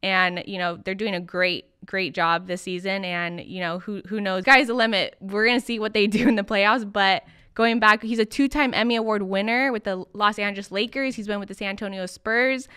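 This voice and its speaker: A woman's voice: mid-range voice, steady tone, normal speed, few pauses, sharp tone, clear, resonant tone, no echo, clean studio sound. A young woman hosting a podcast interview in an upbeat, enthusiastic manner. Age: young adult. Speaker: podcast host.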